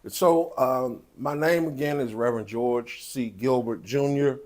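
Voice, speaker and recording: A man speaking as a pastor and producer in a post-show interview, with a deliberate, halting delivery. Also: medium pitch, a little mellow.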